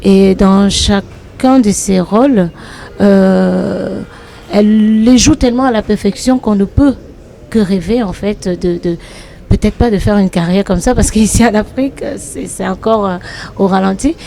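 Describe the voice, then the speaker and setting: A woman actress answering interview questions in a reflective, hesitant way with many pauses. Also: mid-range voice, creaky, dark tone, slight background noise. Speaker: actress and comedian.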